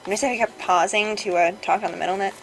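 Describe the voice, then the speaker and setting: A woman's voice: mid-range voice, steady tone, fast, creaky, low-quality recording. A woman reading visual novel dialogue aloud as a let's play host, playful and casual in her delivery. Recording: music behind.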